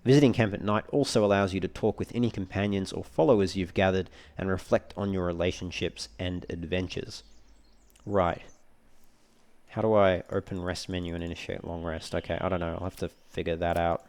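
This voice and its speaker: A man's voice: sits low, fairly even intonation, a little fast, darkish tone. A man giving casual commentary on a video game walkthrough, thinking aloud as he reads through menus. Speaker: let's player.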